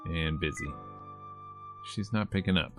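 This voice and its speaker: A man's voice: deep voice, measured pace. A man playing a game on stream, reading its text aloud with joking, casual commentary and acting out lines. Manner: joking, acts out lines as he reads. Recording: game music playing behind. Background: visual novel music and character voices.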